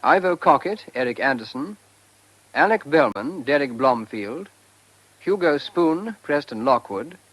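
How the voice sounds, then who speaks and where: A man with a husky voice, reading credits formally as a radio announcer. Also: fairly high pitch, lively intonation, a little slow, fairly bright tone, no room sound, low-quality recording.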